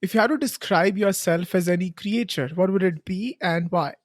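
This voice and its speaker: Calm podcast host asking questions. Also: medium pitch, normal speed, no room sound, clean studio sound. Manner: calm, brief prompts and soft backchannels. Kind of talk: interview questions.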